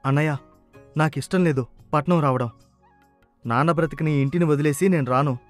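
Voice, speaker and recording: A man's voice: on the high side, brisk, faint music behind, natural voice. A man playing a humble, loyal younger brother who farms in a village moral story, speaking plainly and earnestly. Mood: quietly firm.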